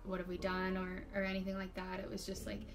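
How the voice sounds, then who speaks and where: A woman's voice: medium pitch, monotone, fast talker, over music. A woman in her late twenties hosting her own channel, chatting casually at home and reading out viewer questions from a fixed camera in a bedroom. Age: 20s. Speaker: channel host. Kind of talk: casual chat.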